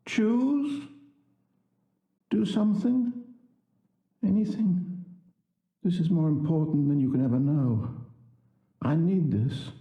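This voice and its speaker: A man's voice: on the high side, mellow, roomy sound, poor audio. An actor playing a needy man who implores someone to choose, in dramatic game style. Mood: pleading, desperate. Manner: slow, imploring. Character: needy, pleading man waiting on someone's choice.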